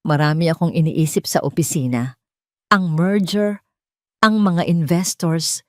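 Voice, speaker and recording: A woman's voice: low voice, unhurried, darkish tone, no room sound, clean studio sound. Woman voicing a curt, proud businessman husband. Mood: curt, irritated. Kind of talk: audio drama dialogue.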